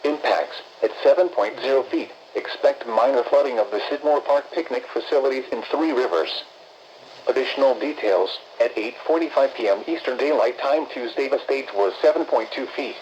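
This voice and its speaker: Over a dull, noisy radio broadcast, a synthetic male voice of medium pitch reads official weather alerts. The delivery is impersonal and formal, moving through short, clipped phrases as an automated announcer would. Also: darkish tone. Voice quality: synthetic text-to-speech voice. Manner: impersonal, evenly measured, clipped list-like phrasing. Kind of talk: formal scripted emergency weather bulletin.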